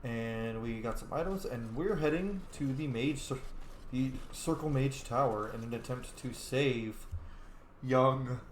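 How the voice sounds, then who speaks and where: A man hosts a casual roleplay gaming session, playful and animated as he answers the characters in his own voice. The room is echoey. A faint hiss runs underneath. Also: medium pitch, unhurried. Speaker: let's play host. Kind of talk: casual gameplay commentary with voiced replies.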